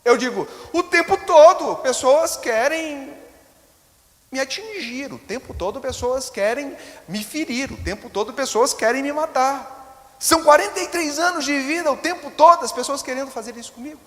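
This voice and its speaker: High-voiced Brazilian pastor preaching in a reverberant church. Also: male voice, normal speed. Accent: Brazilian Portuguese. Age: 40s. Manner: storytelling with rhetorical asides to the congregation. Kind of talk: sermon. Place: church sanctuary. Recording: long reverb of a church hall.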